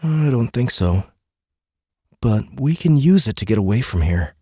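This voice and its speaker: A man's voice: medium pitch, very animated, a little slow, warm tone, breathy edge, no echo, studio quality, voice pushed up. A man voicing a determined, cocky teenage boy with a casual, defiant manner in a science fiction audiobook. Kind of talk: sci-fi audiobook character dialogue.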